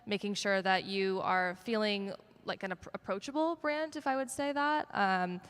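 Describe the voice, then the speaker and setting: A woman's voice: mid-range voice, normal speed, fairly bright tone, clear, resonant tone. A woman speaking casually on a conference panel, with many filler ums. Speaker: panelist, social media sports partnerships. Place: conference stage. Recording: long reverb of a conference hall.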